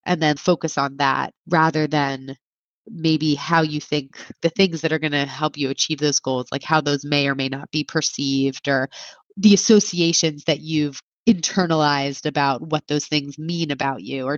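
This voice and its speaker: A woman's voice: low-pitched, fairly even intonation, moderate pace, no room sound, muffled recording. A woman in her thirties, a clinician guest, answering interview questions in a reflective, think-aloud way with frequent filler words. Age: 30s.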